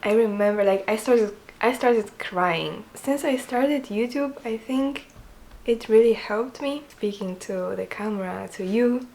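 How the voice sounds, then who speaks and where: Soft-spoken young woman vlogging. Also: a little high, a little slow, creaky. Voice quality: soft quiet voice. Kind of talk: casual chatty vlog.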